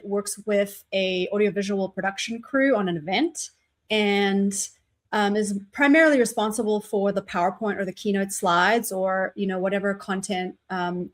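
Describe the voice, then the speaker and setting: South African woman explaining her job. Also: mid-range voice. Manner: explanatory, many "um"s and "like"s. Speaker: guest, graphics operator. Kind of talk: casual interview answers.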